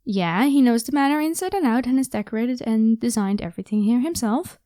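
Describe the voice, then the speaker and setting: High, mellow female voice, caring vampire, played by a woman, casual, encouraging, dry close sound, ASMR roleplay. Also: animated, brisk, no echo, close to an everyday voice. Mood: playful, secretive. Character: caring, protective, playful young vampire woman.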